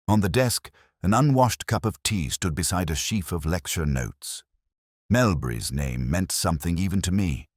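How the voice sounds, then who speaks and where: An extremely deep male voice narrates a reflective mystery in scripted first person. The delivery is measured and melancholy, yet the pitch moves expressively through each phrase. The sound is dry, with no room around it. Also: expressive, no echo.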